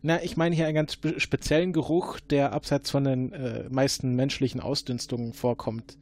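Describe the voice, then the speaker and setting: A man's voice: mid-range voice, level tone, normal speed, a little mellow, dry recording, natural voice. A man playing a time-travel agent who questions a suspect in a probing, dryly sarcastic way, in an audio drama. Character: probing male time-travel agent, adult, sardonic interrogator.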